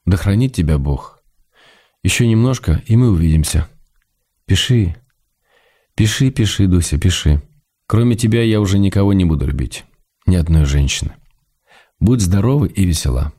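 A middle-aged writer reading letters to his wife in a radio play. The voice is very low, husky and dark. He is measured and intimate, fond of her while gently telling her off. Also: animated. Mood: affectionate.

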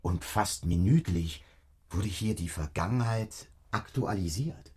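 An actor playing a brooding office worker in a dystopian radio drama, musing haltingly in a hushed voice. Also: male voice, low voice, breathy edge, heavily acted. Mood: brooding, bitter. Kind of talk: radio drama inner monologue. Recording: audible background noise and ambience.

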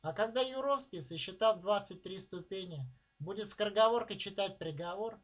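A man reading his own short story aloud in a measured, deliberate way, with dramatic pauses, at a live reading. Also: high-pitched. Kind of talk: literary reading of prose. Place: live reading at a writers' club. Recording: dull lo-fi recording.

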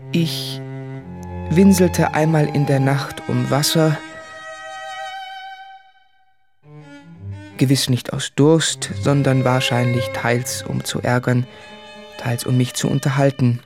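An anguished, introspective writer in a literary radio play. He confesses slowly and haltingly, weighing each phrase with brooding care. His voice has a creaky, gravelly grain, with faint music behind it. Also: mid-range voice, fairly even intonation. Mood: bitter recollection. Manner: slow, halting, brooding, with deliberate pauses. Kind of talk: literary radio drama monologue.

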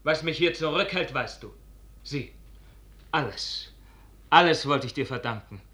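A man's voice: a little high, expressive, measured pace, sharp tone. A man acting an impassioned young noble officer, anguished by love, fervently declaiming verse in a 1950s radio drama. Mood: wounded, reproachful. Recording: echoey 1950s radio recording.

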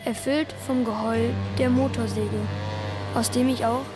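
A wondering narrator of a country childhood, played by a woman with a fairly high voice. The delivery is measured, poetic radio drama with music clearly underneath. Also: fairly even intonation, close to an everyday voice. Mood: reflective. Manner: measured, lyrical. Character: wondering narrator recalling a devout rural childhood.

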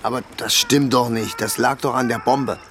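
An actor playing a soft-hearted, hesitant travelling companion in a radio play. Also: male voice, medium pitch, fairly even intonation, normal speed, a little hiss or hum, close to an everyday voice. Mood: gently protesting. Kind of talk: German radio play acting.

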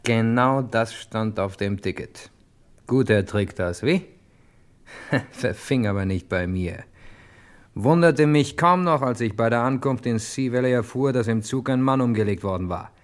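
An actor playing a hard-boiled, cocky hero who narrates a German radio crime play in clipped slang, talking straight to the listener. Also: male voice, mid-range voice, a little slow, natural voice. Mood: amused, cynical.

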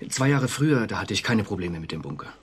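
An actor in a German radio drama playing an anxious, conscientious civil servant, confessional and agitated. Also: male voice, on the low side, very animated, fast, a bit bright, breathy edge, heavily acted. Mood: haunted, confessing.

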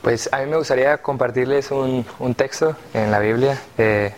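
A man in his twenties from Colombia, a theology student who reads scripture aloud and explains it calmly. His pitch is in the middle range. A faint noise sits under his voice. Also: normal speed. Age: 20s.

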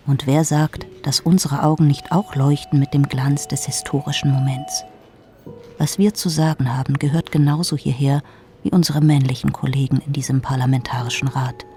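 A woman's voice: sits low, steady tone, normal speed, warm tone, faint music behind, natural voice. An actress playing a proud, defiant female delegate who speaks in an intense whisper.

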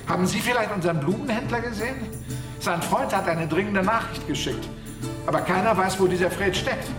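In an echoing space with music behind, a fussy tour guide played by a male actor frets in a radio drama. His voice is very high for a man, agitated and exasperated. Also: a little fast, lightly acted. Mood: agitated, fretting. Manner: fretting, exasperated. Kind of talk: German radio drama acting.

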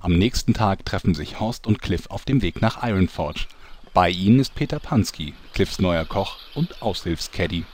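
A man's voice: low voice, animated, normal speed, a little mellow, slight background noise. A man narrating a fantasy soap parody radio play as a composed storyteller.

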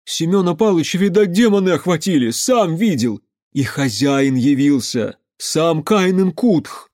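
A man's voice: a little high, lively intonation, a little slow, darkish tone, no echo, clean studio sound, pitched above the performer's own voice. A man voicing a frightened village man in an audiobook, babbling and swearing earnestly. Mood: earnest alarm. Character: frightened, pleading village man swearing fearfully to his master.

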